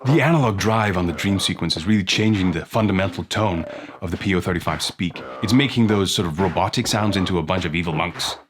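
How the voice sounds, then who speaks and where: A man's voice: low voice, fast talker, heavy background noise. A man narrating a casual effects pedal demo as its host. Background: electronic music and instrument sounds.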